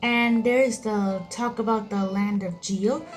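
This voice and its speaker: A woman's voice: medium pitch, steady tone, takes it slowly, soft background music. A woman gamer reading dialogue choices aloud during a playthrough. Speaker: gameplay streamer.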